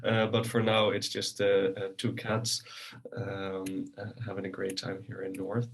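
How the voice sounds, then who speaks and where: A man's voice: sits low, completely flat delivery, a little slow, gravelly, husky. A young man with a Dutch accent presenting a webinar in a chatty way, full of ums and restarts. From Netherlands. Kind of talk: casual presentation.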